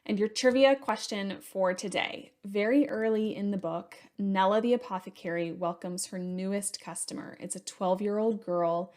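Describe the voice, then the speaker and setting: A woman's voice: mid-range voice, moderate pace, hardly any pauses, darkish tone. A woman speaks as an author in a mid-range voice. She gives a prepared, explanatory introduction to a trivia question for viewers. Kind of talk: prepared talk to camera, explanatory.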